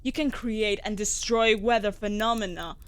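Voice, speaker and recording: A woman's voice: medium pitch, steady tone, moderate pace, slight background noise, natural voice. A woman playing a mage's devoted wolf familiar in a fantasy audio drama, formal, firm and reassuring. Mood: firm reassurance. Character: female wolf familiar, protective, devoted and earnest. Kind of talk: scripted fantasy audio roleplay.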